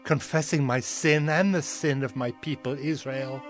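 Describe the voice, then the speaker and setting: A man's voice: mid-range voice, fairly even intonation, lightly acted. A man playing a humble, devout male prophet, solemn and fervent, in a dramatized scripture recording. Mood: solemn, reverent. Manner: solemn, fervent, measured delivery. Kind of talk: dramatized scripture audio acting. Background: background music.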